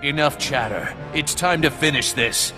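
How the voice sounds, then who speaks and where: An actor playing a bitter, contemptuous renegade soldier captain in a video game, sneering in plain colloquial speech. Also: male voice, on the high side, normal speed, lightly acted. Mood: threatening. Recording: long hall reverb, faint music.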